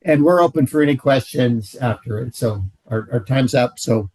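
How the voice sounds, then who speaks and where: A man presenting haltingly, recorded dry. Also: medium pitch, a little mellow, no echo. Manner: halting, repeats phrases. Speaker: presenter in marriage ministry. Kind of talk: informal presentation.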